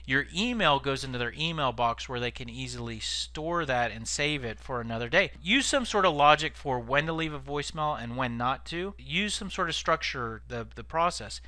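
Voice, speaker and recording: A man's voice: medium pitch, fairly quick, sharp tone, dry recording. A man giving informal instructional tips as a sales trainer, explaining conversationally and stressing key words.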